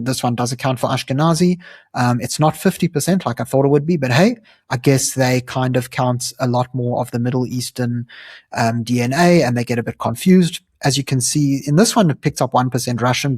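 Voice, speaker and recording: A man's voice: medium pitch, darkish tone, no room sound, clean studio sound. A South African man hosting his own channel, explaining things earnestly in a conversational way, with frequent ums and uhs. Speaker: channel host. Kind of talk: explanatory commentary to camera.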